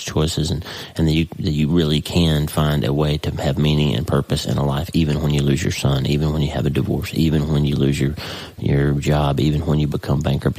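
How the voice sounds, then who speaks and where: Very deep, gravelly, congested man. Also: a little mellow, no echo, rough recording. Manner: earnest and folksy, with many restarts. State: congested, stuffy voice. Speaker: podcast host. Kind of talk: casual faith-based podcast monologue. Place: home office desk, early morning.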